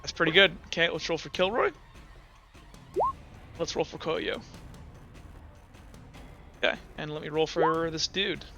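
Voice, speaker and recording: A young man running a tabletop game as game master, narrating scenes and explaining rules in a halting way with many fillers and restarts. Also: on the high side, fairly even intonation, dull audio, music in the background.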